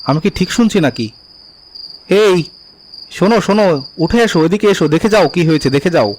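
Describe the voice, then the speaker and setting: A man's voice: high-pitched, expressive, fairly quick, darkish tone. Man playing a henpecked poor village husband. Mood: astonished.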